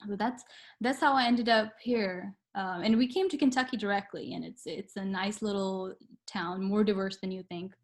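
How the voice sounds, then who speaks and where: A woman's voice: mid-range voice, normal speed. A young woman from Pakistan, a guest on an interview show, telling her story in an earnest, reflective way with some hesitant fillers. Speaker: guest, medical student.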